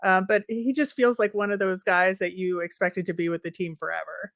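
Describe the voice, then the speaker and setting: A woman co-hosts a sports podcast in a casual, conversational way. Her voice sits fairly low for a woman. The sound is dry, with no room echo. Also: fairly even intonation, fairly quick, a bit bright.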